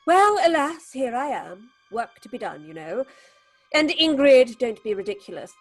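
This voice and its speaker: A proud woman directing a theatre troupe in a horror audio drama. Her voice sits high with a rough, husky edge over faint music. She is commanding, clipped and theatrical, with brittleness underneath. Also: big pitch swings, normal speed, full character voice, low-quality recording. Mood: icy composure. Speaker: actress.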